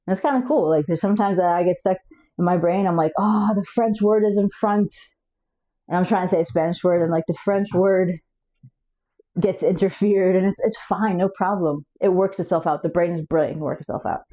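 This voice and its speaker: A woman talks straight to camera in a loose, chatty way, often stumbling and restarting her words as she goes. Her voice sits fairly low and has a soft, mellow tone. The sound is a bit dull and lo-fi. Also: a little fast. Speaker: language coach and channel host. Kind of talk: casual talk to camera.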